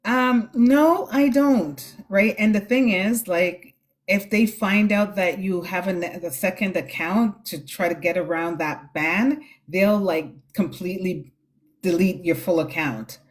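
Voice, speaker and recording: A woman's voice: mid-range voice, unhurried. A woman hosting a casual live stream, giving spiritual guidance in a reassuring, chatty way. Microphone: phone on a live stream.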